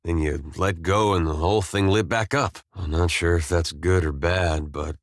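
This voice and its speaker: An actor playing a laid-back, good-natured farmer turned adventurer in a video game, plain-spoken and folksy with dry, understated humor. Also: male voice, sits low, normal speed, dry recording, close to an everyday voice. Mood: wry, concerned. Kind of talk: scripted video game dialogue.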